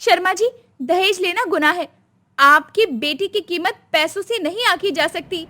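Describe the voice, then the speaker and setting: A woman's voice: very high voice, moderate pace, bright, forceful tone, pitched above the performer's own voice. A woman voicing a young, modern daughter-in-law who is earnest, outspoken and caring. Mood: defiant.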